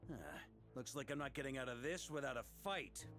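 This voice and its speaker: An actor playing a confident, easygoing knight commander in a video game, speaking with relaxed authority. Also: male voice, medium pitch, brisk, lightly acted. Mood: musing, wary. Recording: music under the voice.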